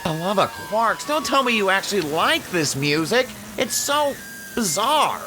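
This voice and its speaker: A man's voice: high-pitched, sing-song, a little slow, over music, low-quality recording. A man playing a fussy, skeptical, anxious space traveler in an audio drama, whiny and easily flustered. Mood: exasperated.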